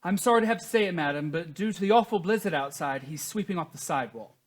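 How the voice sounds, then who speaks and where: A woman's voice: fairly low pitch, expressive, quick pace, slight background noise, close to an everyday voice. A woman playing a dutiful household servant in a period stage comedy, formal and deferential. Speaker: stage actor. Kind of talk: theatrical period comedy acting.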